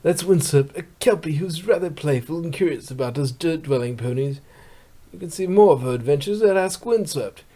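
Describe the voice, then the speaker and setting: A man's voice: a little high, lively intonation, moderate pace, mellow. A man voicing a good-natured, pun-loving pony who narrates a fan comic blog in a chatty way. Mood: cheerful. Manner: chatty, eager questioner.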